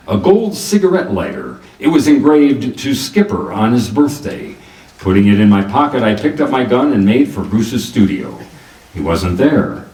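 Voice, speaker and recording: An actor playing a wisecracking private detective in a hardboiled radio drama, speaking in a dry, sardonic, colloquial way. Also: male voice, medium pitch, a little mellow. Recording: roomy, distant microphone.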